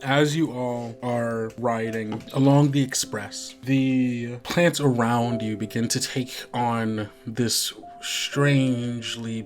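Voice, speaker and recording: A man in the game master's chair, describing scenes slowly and casually, with lots of fillers. His voice sits at an ordinary middle pitch. Faint music runs behind. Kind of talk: narrating a tabletop game.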